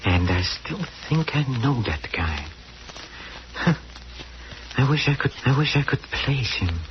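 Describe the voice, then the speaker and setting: An actor playing a ghoulish, sly horror host, mocking and coaxing in theatrical 1940s radio style on a vintage recording. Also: male voice, medium pitch, big pitch swings, takes it slowly, lo-fi sound, a little hiss or hum. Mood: sly, teasing.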